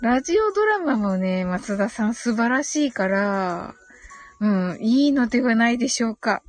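A woman with a fairly high voice hosts a casual live chat over background music. She reads out comments and answers them politely, with many small agreeing murmurs. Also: sing-song, normal speed. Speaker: live audio stream host.

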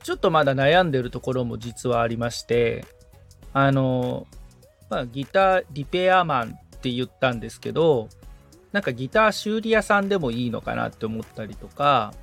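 Gravelly-voiced hesitant man. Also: mid-range voice, lively intonation, normal speed, a bit bright, faint music behind. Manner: modest, hesitant, thinks aloud. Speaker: guitar repairman, podcast assistant. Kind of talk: casual podcast conversation.

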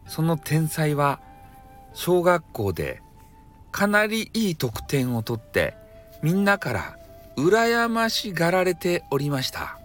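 Hakata dialect guy telling a bedtime story, bright animated voice, soft music underneath. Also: on the high side, slow pace. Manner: rambling, with frequent fillers and playful asides. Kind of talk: bedtime folktale narration.

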